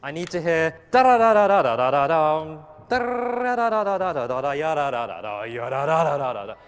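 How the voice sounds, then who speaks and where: A man's voice: on the high side, big pitch swings, a little fast, clean tone, long reverb tail. A male conductor, a native Cantonese speaker, rehearsing an orchestra, counting and singing rhythms aloud with polite requests. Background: orchestra playing.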